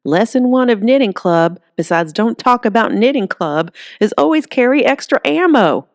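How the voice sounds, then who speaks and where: Woman as tough elderly grandma, audiobook character, barking orders, folksy, pitched up, dry recording. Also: lively intonation, moderate pace, dry recording. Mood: commanding. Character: tough, bossy, foul-mouthed granny with fighting spirit.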